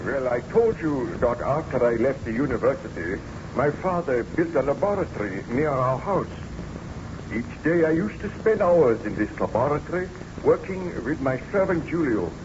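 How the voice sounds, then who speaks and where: An actor playing an obsessed, weary scientist in a theatrical, deliberate old-time radio drama style, on a muffled, noisy recording. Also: male voice, medium pitch, natural voice. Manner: theatrical, deliberate, brooding narration.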